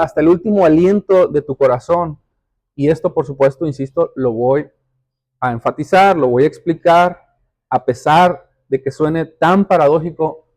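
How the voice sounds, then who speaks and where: A man with a notably high voice delivers a sermon. He teaches as he goes, putting questions to the congregation and repeating key phrases for emphasis. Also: a little mellow. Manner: didactic, explanatory, rhetorical questions. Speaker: preacher. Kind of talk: sermon, addressing the congregation directly.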